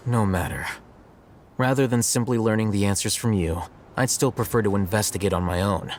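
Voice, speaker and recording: An actor playing an aloof, analytical young male scholar in a video game, speaking in a measured, formal, dryly probing way. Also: on the low side, slight background noise, close to an everyday voice. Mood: detached. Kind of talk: video game cutscene acting.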